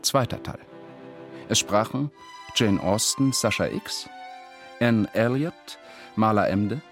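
A man's voice: fairly low pitch, slow, soft background music. A man formally announcing the title and cast credits of a radio drama.

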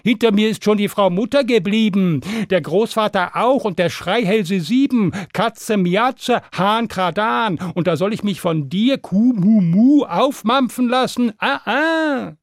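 Man putting on a high-pitched, boastful runaway pancake. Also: animated, a little slow, hardly any pauses, no room sound, studio quality. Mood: indignant, taunting. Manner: indignant, singsong, exclamatory. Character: cheeky, boastful runaway pancake taunting hungry animals.

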